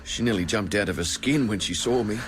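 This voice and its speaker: An actor playing a shaken, dutiful gardener giving a plain-spoken, deliberate statement in an audio drama. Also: male voice, fairly low pitch, background noise, natural voice. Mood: troubled, subdued. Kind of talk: dramatised witness statement, storytelling.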